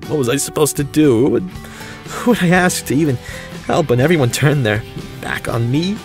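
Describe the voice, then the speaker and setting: A man's voice: on the high side, expressive, normal speed, faint music behind. A man acting an emotional, pleading young man in an amateur audio drama, desperate for his missing brother. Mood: grieving, desperate. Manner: emotional, pleading, sighing delivery.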